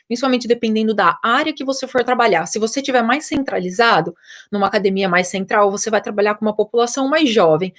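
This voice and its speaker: Woman, Brazilian Portuguese accent, young adult, personal trainer, casual live chat, chatty, fairly high pitch. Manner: chatty, explanatory, with frequent fillers. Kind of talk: casual live chat answering viewer questions.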